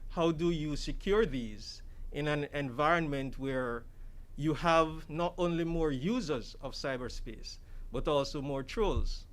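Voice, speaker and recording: Jamaican male official, fairly high voice, delivering a formal, emphatic public briefing. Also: measured pace. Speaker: government security official giving a briefing.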